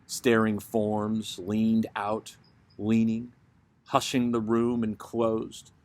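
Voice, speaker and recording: A man giving a dramatic poetry recitation, reading in a deliberate, theatrical manner. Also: lowish voice, completely flat delivery, a little mellow. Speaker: poetry reader, host.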